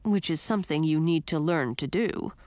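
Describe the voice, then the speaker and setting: A woman voicing a self-assured, teasing male frog from a storybook, impatient and boastful in a brisk manner. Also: low-pitched, darkish tone, no echo, close to an everyday voice. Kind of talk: audiobook character acting.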